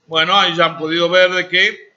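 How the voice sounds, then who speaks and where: A man's voice: high voice, level tone, brisk, bright, forceful tone. A man, teaching a programming class live, talking while he types and checking in with students. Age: 40s. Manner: talks while typing, asks students checking questions. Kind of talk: live classroom coding lesson. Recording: dull lo-fi classroom recording.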